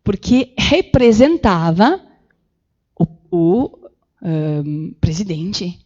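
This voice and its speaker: A woman giving an animated public lecture with slides in a council chamber, acting out the voices she quotes. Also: fairly low pitch, very slow, dull audio. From Brazil. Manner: animated, acts out quoted voices, many fillers. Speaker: guest lecturer.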